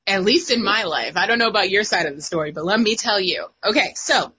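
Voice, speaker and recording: Giggly, bright-voiced woman telling stories. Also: medium pitch, fast talker, slightly rough. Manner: giggly, animated storyteller. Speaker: guest writer. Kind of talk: casual chat.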